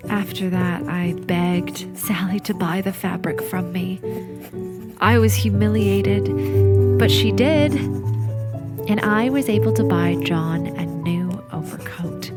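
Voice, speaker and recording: A woman playing a gentle, discontented young wife in a period audio drama, speaking softly and earnestly, as if confessing. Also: lowish voice, takes it slowly, loud music behind the voice. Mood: confessional, tender. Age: young adult.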